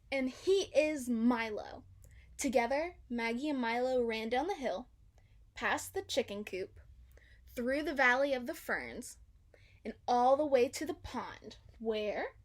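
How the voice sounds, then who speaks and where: Slow, higher-voiced woman reading a storybook. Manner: expressive, dramatic emphasis. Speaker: storybook reader. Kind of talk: children's read-aloud narration.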